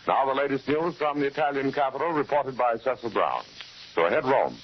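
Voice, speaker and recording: A man's voice: medium pitch, expressive, fairly quick, a little hiss or hum. A man anchoring a scripted news bulletin on 1940s radio, introducing reports from correspondents. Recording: muffled 1940s radio broadcast.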